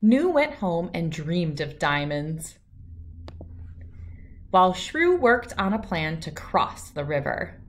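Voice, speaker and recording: A woman's voice: fairly low pitch, big pitch swings, slow. A middle-aged woman narrating a children's storybook as a read-aloud host.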